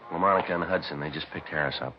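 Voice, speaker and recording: A very deep-voiced American police detective, terse and businesslike. He speaks quickly in a flat, matter-of-fact way, as in old radio crime drama. The vintage recording is limited in tone, with clear music behind. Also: highs cut off. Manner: deadpan, clipped, matter-of-fact. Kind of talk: 1950s radio police drama acting and narration.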